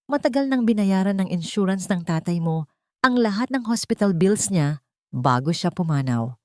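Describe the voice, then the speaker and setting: A woman's voice: mid-range voice, lively intonation, moderate pace, mellow, no room sound, lightly acted. An earnest young lawyer in an audio drama, played by a woman narrator. He speaks with urgency and firm resolve, a protective friend. The sound is dry and close. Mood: urgent, serious.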